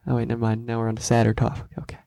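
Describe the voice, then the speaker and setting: Young male co-host, monotone delivery, dry close recording; casual, joking explainer. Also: medium pitch, very fast, vocal fry, no echo. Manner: joking, acts out quoted voices in asides.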